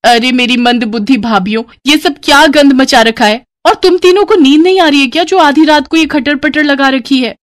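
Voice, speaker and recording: A woman's voice: sits high, moderate pace, hardly any pauses, voice pushed up, lightly acted. A woman voicing a snooty young city sister-in-law who scolds haughtily and sprinkles in English words, in a family story. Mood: annoyed scolding.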